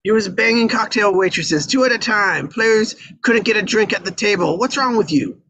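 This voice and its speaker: A man's voice: sits high, animated, moderate pace, sharp tone, a little hiss or hum, exaggerated voice. A man voicing a brash, combative mob casino boss in a script read, with a blustering, punchy delivery. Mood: angry, blustering. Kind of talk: live table read of a crime film script.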